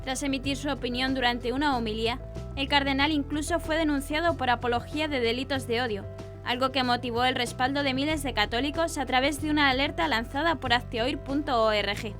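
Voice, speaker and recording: Woman newsreader with a high voice. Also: fairly quick, music in the background. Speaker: news presenter. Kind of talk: scripted news narration.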